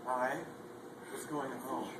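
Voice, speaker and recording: A performer, likely a young man, narrating as a husband looking back on his last day, with calm reflection. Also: mid-range voice, slow, distant mic, lightly acted. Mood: reflective. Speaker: readers theatre performer. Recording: echoey hall, noisy.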